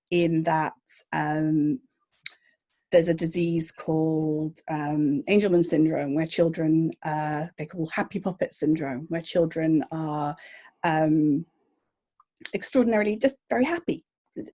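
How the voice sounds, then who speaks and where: A woman's voice: on the low side, warm tone. A woman, a journalist guest, chatting eagerly and rambling with restarts. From Northern Ireland. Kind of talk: casual interview chat.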